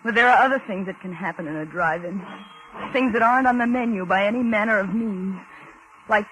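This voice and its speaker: Through clear old-radio noise comes a weary young American car hop, played by an actress. She speaks in a mid-pitched voice, plain and wry, confiding in the listener with an anxious edge in 1940s suspense-drama fashion. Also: a little fast, a bit bright, lightly acted, poor audio. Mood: confiding, uneasy. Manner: wry, confiding, working-class plain talk. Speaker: narrator and lead character. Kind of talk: 1940s radio suspense acting, first-person narration.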